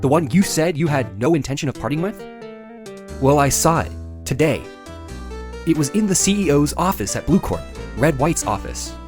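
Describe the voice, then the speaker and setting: An actor playing an earnest, dogged and excitable young defense lawyer in a video game, with a probing way of talking. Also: male voice, on the high side, normal speed, music in the background, close to an everyday voice. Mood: pressing, earnest. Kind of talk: dramatic video game character acting with inner monologue.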